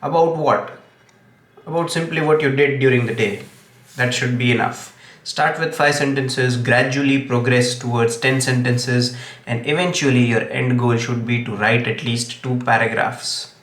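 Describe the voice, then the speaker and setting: A man's voice: mid-range voice, steady tone, normal speed, a bit bright. A young man, an English teacher whose first language is Gujarati, speaks with an Indian English accent and gives earnest tips, often saying "okay". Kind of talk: direct-to-camera tips video. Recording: echoey room.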